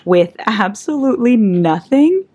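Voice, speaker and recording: A young woman talking casually to camera from behind it, chatty and upbeat, with a calm voice. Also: fairly high pitch, measured pace, husky. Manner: chatty and upbeat, with many "like"s and "kinda"s. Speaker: book vlogger. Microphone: camera mic, speaking from behind the camera.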